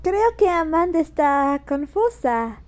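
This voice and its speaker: A woman voicing a timid, gentle male sheep sidekick in a children's cartoon dub, soft-spoken and hesitant, with a Latin American Spanish accent. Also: very high voice, big pitch swings, takes it slowly, full character voice. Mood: unsure, cautious.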